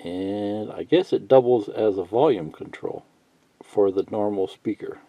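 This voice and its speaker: A man giving a casual, unscripted how-to tutorial, thinking out loud in a halting, folksy way and reading bits of instructions aloud. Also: fairly low pitch. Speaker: hobbyist instructor. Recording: muffled lo-fi sound, roomy echo.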